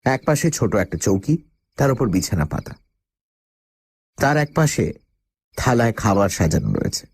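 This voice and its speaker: A man's voice: fairly low pitch, very animated, normal speed, long pauses, breathy edge, dry recording, studio quality, heavily acted. A man playing the uneasy first-person narrator of a radio horror story, telling it in a dramatic, suspenseful way. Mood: ominous, suspenseful. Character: male first-person narrator, uneasy job-seeker with a dog.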